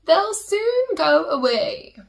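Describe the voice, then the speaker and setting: A little old lady from a children's storybook, as a woman reader plays her, pitched high and swooping. She is kindly but firm, with brisk, no-nonsense reassurance. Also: very animated, creaky, exaggerated voice. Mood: kindly advice. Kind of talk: children's storybook read-aloud.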